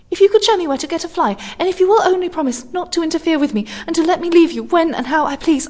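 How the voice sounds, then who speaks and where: A woman voicing a frightened young woman lost at night, who talks in a breathless, hurried, pleading way. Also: high voice, pitched above the performer's own voice.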